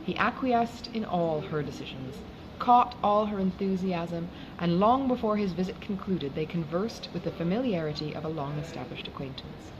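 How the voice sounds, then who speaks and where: A woman narrates a classic novel in a fairly low voice. Her delivery is formal and expressive, with lively movement in pitch. Noticeable noise runs behind the recording. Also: normal speed, dull audio. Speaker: audiobook reader.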